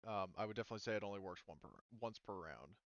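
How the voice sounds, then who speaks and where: Male game master, low and resonant, casually talking through rules, close dry sound, slightly dull audio. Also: rapid-fire, no echo.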